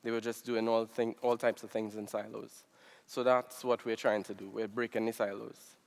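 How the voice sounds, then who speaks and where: A man from Trinidad and Tobago, giving a relaxed conference presentation with frequent ums. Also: fairly low pitch, normal speed, vocal fry, in a large hall. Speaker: NGO director, panel speaker.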